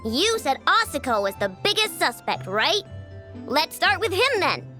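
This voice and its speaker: A young girl inventor in a video game. Her voice is very high and bright, with lively swings in pitch. She comes across as girlish, stubborn, loyal and earnest. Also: unhurried, faint music behind, exaggerated voice. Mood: earnest, determined. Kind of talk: video game character acting.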